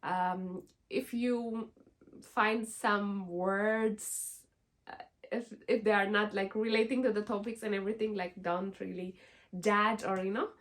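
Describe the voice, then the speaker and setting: A woman's voice: mid-range voice, fairly even intonation, a little slow, fairly bright tone. A young woman from Ethiopia, speaking English as an in an earnest, encouraging self-help vlog, full of "like" and "you know". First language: Amharic. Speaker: vlogger.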